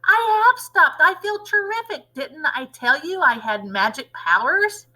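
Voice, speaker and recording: A woman's voice: sits high, big pitch swings, a little slow, voice pushed up, exaggerated voice, low-quality recording. A woman putting on a screechy, wild old grandma. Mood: gleeful shouting. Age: older. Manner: shouting, gleeful and bossy. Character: scrawny ancient grandma, cantankerous and wild.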